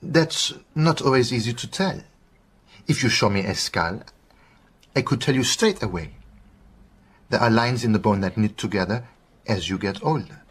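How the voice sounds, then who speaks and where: An actor playing a genial, cautious doctor in a radio drama, speaking in a courteous, measured way with a Southern African accent. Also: male voice, mid-range voice, expressive, breathy edge. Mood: thoughtful, precise. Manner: courteous, measured, precise phrasing.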